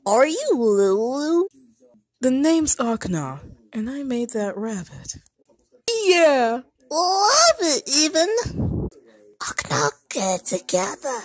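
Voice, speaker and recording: High, wildly animated girlish woman. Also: slow, dry recording. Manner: animated, theatrical. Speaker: fan voice actor. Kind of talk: amateur character voice acting.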